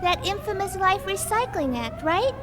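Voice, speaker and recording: Timid childlike android girl, very high pitch, swooping intonation, soft and hesitant, game dialogue. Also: big pitch swings, normal speed. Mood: hesitant, curious. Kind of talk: English video game dub acting. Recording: roomy echo, clear background noise.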